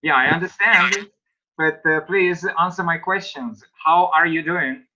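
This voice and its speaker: A man teaching English online, asking students slow, patient questions and explaining grammar. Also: fairly high pitch, highs cut off, background noise.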